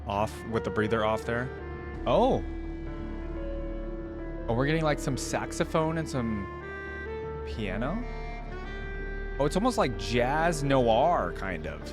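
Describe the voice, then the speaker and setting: A man with a mid-pitched voice hosts a reaction video, commenting casually as he watches. He talks in an informal, conversational way, with music playing behind him. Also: sing-song, slow pace, long pauses.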